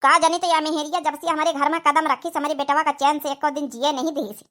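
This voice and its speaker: A woman's voice: high voice, little pitch movement, fast, fairly bright tone. A woman voicing an aggrieved older village mother-in-law with an Awadhi accent, complaining and scolding in a cartoon comedy. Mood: resentful. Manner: complaining, nagging.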